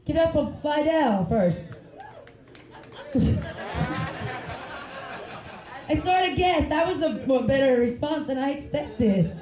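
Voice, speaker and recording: A woman's voice: high-pitched, animated, slow pace, long pauses, roomy sound, crowd noise. Giggly woman doing stand-up. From Finland. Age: 30s. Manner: chatty, giggly, rambling asides. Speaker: stand-up comedian. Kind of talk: stand-up comedy set. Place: comedy club stage.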